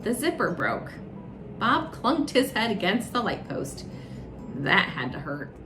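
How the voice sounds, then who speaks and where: A woman's voice: mid-range voice, big pitch swings, a little slow. A woman storyteller narrating a children's storybook aloud. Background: music.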